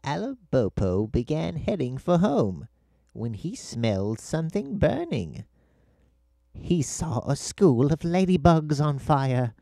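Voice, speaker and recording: A man reading a children's story in an expressive, unhurried storytelling manner. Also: on the high side, vocal fry, no room sound. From Britain. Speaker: storybook reader. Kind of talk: scripted children's story narration. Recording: music playing behind the narration. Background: music.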